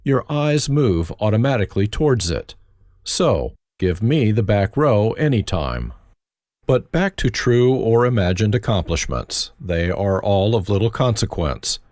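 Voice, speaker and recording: A man reads his own life story, his low voice rising and falling with a lot of movement. His humor is dry and self-mocking. The sound is dry and close, with no room around it. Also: big pitch swings, a little slow, darkish tone, no room sound. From Germany. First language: German. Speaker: autobiography narrator. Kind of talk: reflective first-person memoir reading.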